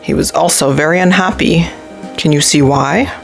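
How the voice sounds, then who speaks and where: A woman's voice: low voice, normal speed, vocal fry, faint music behind. A woman voicing a kindly, tired old man who muses and coaxes as he makes up stories in a children's storybook. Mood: musing, inventive. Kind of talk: children's storybook read-aloud.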